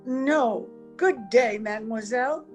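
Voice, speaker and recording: A woman's voice: on the high side, a little slow, lightly acted. A woman playing a strange, weary, evasive young woman in an old-time radio drama. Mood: anxious denial. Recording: home video-call audio, music behind.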